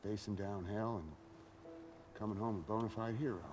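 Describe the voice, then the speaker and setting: Guy doing a laid-back army pal in a war game, lowish voice, music up behind him. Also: fairly even intonation, moderate pace, natural voice. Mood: friendly. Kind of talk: video game cutscene acting.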